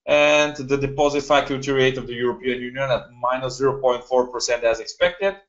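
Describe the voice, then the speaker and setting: A man's voice: medium pitch, a little fast. A young man from Bulgaria, hosting a live webinar in a chatty way with many fillers, recorded on a computer mic in a small room. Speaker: webinar host. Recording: dull lo-fi webinar audio.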